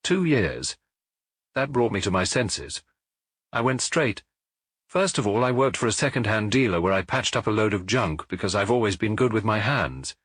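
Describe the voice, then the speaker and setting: A man's voice: on the low side, lively intonation, moderate pace, fairly bright tone, slightly rough, dry recording, studio quality. A man voicing a jovial, garrulous former pickpocket, no longer young, in an audiobook detective story. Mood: merry, familiar. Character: merry, chatty ex-pickpocket, a reformed petty crook past his youth.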